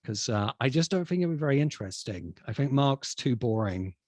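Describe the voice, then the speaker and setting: A man speaking as an expert guest, explaining things in a measured, deliberate way with pauses. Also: mid-range voice, animated, darkish tone, no room sound, studio quality. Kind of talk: explanatory conversation.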